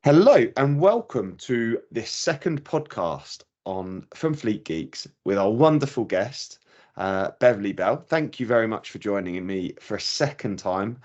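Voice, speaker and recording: A man's voice: fairly low pitch, lively intonation, unhurried, darkish tone, muffled recording. A man hosting a podcast, talking hesitantly with many restarts, friendly toward his guest. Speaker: podcast host. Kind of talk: podcast interview.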